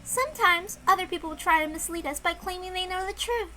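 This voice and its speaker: A woman voicing a calm, aloof female witch who speaks softly and measuredly. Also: very high voice, soft background music, pitched above the performer's own voice. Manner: soft, measured, quietly lecturing.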